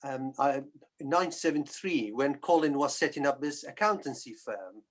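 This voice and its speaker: A middle-aged man from northern Iraq giving a personal account on a webinar, speaking in a halting, hesitant way. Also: medium pitch, lively intonation. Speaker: guest speaker, physician and polio survivor.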